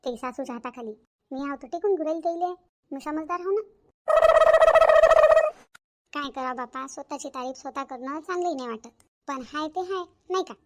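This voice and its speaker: A woman's voice: sits high, fast talker, slight background noise, close to an everyday voice. A woman playing a bossy, self-praising village mother in a Marathi family cartoon, chatty and emphatic, with a Varhadi accent from Vidarbha. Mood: boastful. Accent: Varhadi Marathi of Vidarbha, India.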